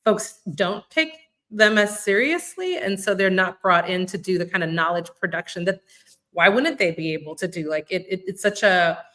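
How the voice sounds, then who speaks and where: Bright-voiced casual woman. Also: lowish voice, normal speed. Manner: casual, many "like" fillers, thinks aloud. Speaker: youth organization leader. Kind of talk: conversational panel discussion.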